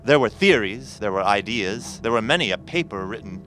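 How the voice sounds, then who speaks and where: A man's voice: lowish voice, expressive, a bit bright, low-quality recording. Man playing a formal professor narrating over music. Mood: measured, foreboding. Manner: measured, literary, formal. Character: formal, grave male professor recounting a strange horror. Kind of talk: dramatic scripted narration, gothic horror audio drama.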